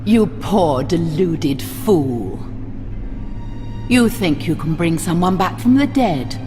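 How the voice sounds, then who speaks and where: Vampire queen for a game, slow n low, seductive, taunting, loud scary music behind. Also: expressive. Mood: menacing. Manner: theatrical, slow, taunting, slipping into breathy whispers. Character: seductive, imperious vampire queen, cruel and mocking. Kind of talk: dramatic video game cutscene acting. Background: loud ominous music.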